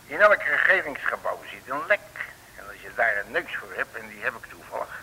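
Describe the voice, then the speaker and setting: A man's voice: medium pitch, very animated, moderate pace, gravelly, sharp tone, a little hiss or hum, full character voice, rough recording. A man playing a cheeky, folksy, boastful private detective with a Dutch accent in an old comic radio serial. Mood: cheeky, unbothered. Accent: Dutch from the Netherlands. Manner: cheeky, chatty, irreverent. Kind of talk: 1950s-60s comic radio drama acting. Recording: vintage radio recording.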